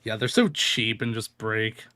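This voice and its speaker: Bright-voiced, slow-paced man streaming games. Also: lowish voice. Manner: informal, rambling, chuckling. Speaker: game streamer. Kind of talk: casual livestream chat over gameplay. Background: game music.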